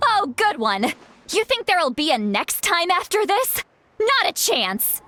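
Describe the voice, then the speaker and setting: A woman's voice: very high voice, big pitch swings, a little slow, bright, forceful tone, slight background noise, heavily acted. A woman playing a stern, exasperated guardian who scolds like an elder in a video game. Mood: indignant.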